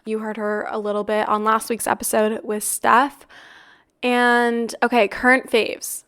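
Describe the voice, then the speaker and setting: A young woman in her twenties hosts a chatty podcast, sounding a little congested and raspy from a recent flu. Her voice sits fairly high and stays smooth and clear, with a flat, even melody as she talks upbeat and easy. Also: unhurried. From Canada. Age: 20s. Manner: chatty, upbeat, with frequent "like" and "really". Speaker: podcast host. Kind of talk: casual chat.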